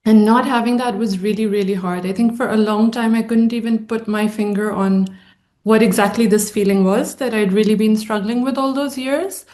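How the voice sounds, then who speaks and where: A woman psychologist speaking as a panel guest, thoughtful and measured, often pausing to search for the right words. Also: medium pitch, fairly even intonation, darkish tone. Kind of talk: reflective panel conversation.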